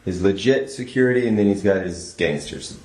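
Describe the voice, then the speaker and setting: A man's voice: low voice, fairly quick, darkish tone. An American man working as a police interrogator, asking questions in a casual investigative way. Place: indoor police interrogation room. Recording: echoey police interview room recording.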